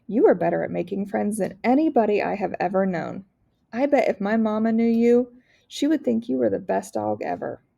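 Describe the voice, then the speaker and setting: A woman's voice: mid-range voice, moderate pace, creaky, dark tone, lo-fi sound. A woman voicing an earnest, eager young girl in a storybook, pleading sincerely in folksy phrases. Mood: grateful. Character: earnest, eager young Southern preacher's daughter. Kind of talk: storybook read-aloud character voice.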